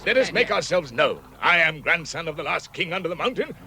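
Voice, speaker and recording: A man's voice: a little high, big pitch swings, a little fast, a crowd in the background. A man playing a proud, pompous dwarf king, declaiming grandly in a vintage radio drama. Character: proud, pompous male dwarf king claiming his inheritance. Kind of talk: 1960s radio drama acting. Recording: vintage radio recording, faint background noise.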